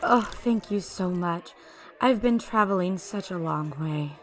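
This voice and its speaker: A woman playing a polite, naive, youthful-seeming fey traveler with a soft, hesitant manner in a fantasy audio drama. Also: lowish voice, unhurried, background noise, natural voice. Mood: gentle, curious.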